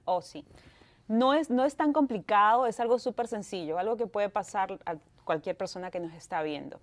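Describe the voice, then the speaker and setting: A woman's voice: mid-range voice, normal speed. A woman in her thirties from Peru with a Peruvian Spanish accent, a lawyer answering interview questions in a chatty way and slipping in English words. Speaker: guest lawyer.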